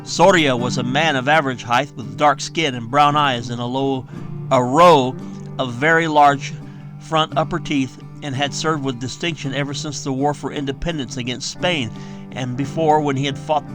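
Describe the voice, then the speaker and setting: A man's voice: medium pitch, fairly even intonation, normal speed. A man reading his historical western novel aloud as a theatrical, emphatic audiobook narrator. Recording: guitar music behind the voice. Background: plucked guitar music.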